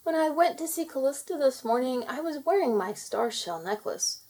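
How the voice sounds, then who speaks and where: A sweet young mermaid girl from a children's storybook, acted out by a woman. Her voice sits high and swoops around with earnest, excitable feeling. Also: normal speed. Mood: upset, earnest.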